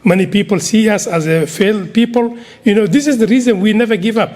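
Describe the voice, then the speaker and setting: Earnest high-voiced Somali man. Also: fairly quick, darkish tone. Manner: earnest and exhorting, with repetitions. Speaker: community speaker. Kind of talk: speech to an audience.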